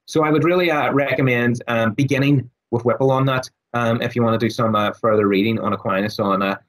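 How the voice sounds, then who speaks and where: Raspy, hoarse man explaining thoughtfully. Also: medium pitch, steady tone, brisk, poor audio. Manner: thoughtful, many fillers and restarts. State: hoarse, losing his voice. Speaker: guest scholar on Aquinas. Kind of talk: casual interview talk.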